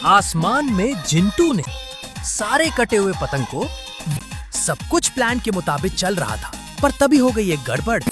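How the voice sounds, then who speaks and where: A theatrical storyteller narrating a children's cartoon in playful rhyme. The delivery is animated and mid-pitched, with music clearly behind. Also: unhurried. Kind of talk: rhyming, playful scripted cartoon narration in Hindi.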